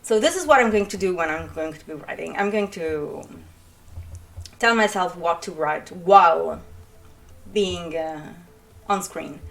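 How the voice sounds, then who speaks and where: Young italian woman rambling on in english, lowish voice, lots of ums and restarts. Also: animated, a little slow, gravelly, long pauses, a bit bright. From Italy. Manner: rambling, chatty, many fillers and restarts. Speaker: writer and streamer. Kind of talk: casual channel introduction.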